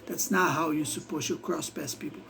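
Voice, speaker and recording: A Brazilian man with an accent talking to camera in a casual vlog monologue, rambling and halting, with many restarts. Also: fairly high pitch, fairly even intonation, gravelly, pushed and bright, soft background music. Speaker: vlogger.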